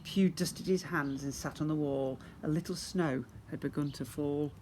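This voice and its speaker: A man's voice: a little high, steady tone, normal speed, a little mellow. Theatrical male storyteller with faint noise. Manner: theatrical, with asides to the listeners. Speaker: teacher reading to young children. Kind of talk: expressive rhyming storybook read-aloud.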